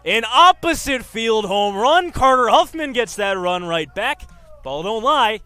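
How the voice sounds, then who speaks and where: A man's voice: very high-pitched, lively intonation, unhurried, pushed and bright, smooth, no room sound. A man in his twenties working as a sports announcer, delivering reporting and live baseball play-by-play commentary. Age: 20s.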